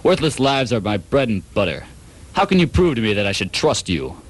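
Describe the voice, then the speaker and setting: A man playing a pompous, dim-witted, short-tempered private detective in a comedic radio drama, melodramatic, shifting between deadpan narration and ranting. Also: mid-range voice, fairly quick, poor audio. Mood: deadpan, smug.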